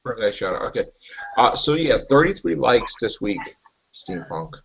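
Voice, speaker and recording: A male host who talks in an emphatic, pushy way. His pitch swoops up and down a lot. The recording is muffled and lo-fi. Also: very animated, moderate pace, vocal fry, over a noisy crowd. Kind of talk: casual web show chat.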